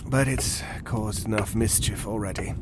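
An actor playing a grave, haunted sergeant major in a horror audio drama, speaking in a halting, ominous way. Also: male voice, fairly low pitch, noisy background, close to an everyday voice.

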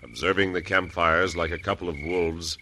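A man's voice: deep voice, fairly even intonation, moderate pace, sharp tone. A man with an American accent narrating an old-time radio western in a dramatic, declamatory way. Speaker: announcer and narrator. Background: music.

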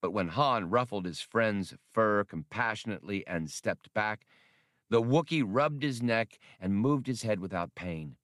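Low, dry-recorded male audiobook narrator. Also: lively intonation, measured pace, fairly bright tone, no room sound. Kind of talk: scripted narration of an adventure novel.

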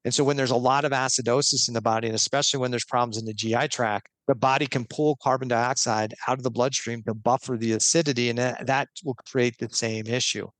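A man's voice: mid-range voice, fairly even intonation, quick pace, few pauses, fairly bright tone, dry recording. A man talking as a guest expert on a podcast, explaining earnestly step by step with a few restarts.

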